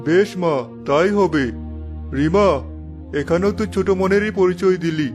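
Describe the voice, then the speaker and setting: A man's voice: sits high, unhurried. A man voicing an obliging middle-aged father in a cartoon family story. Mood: indulgent, resigned. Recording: music behind the voice.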